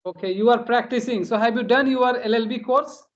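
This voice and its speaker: A man's voice: extremely high pitch, fairly quick, dull audio. A man from India chatting casually in as a practice conversation, hesitant and repeating phrases as he goes. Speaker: host.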